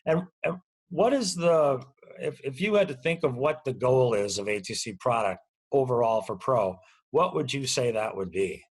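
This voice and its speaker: A man's voice: medium pitch, lively intonation, moderate pace, husky. A man conducting a conversational interview, chatting casually as he puts questions and comments to his guest.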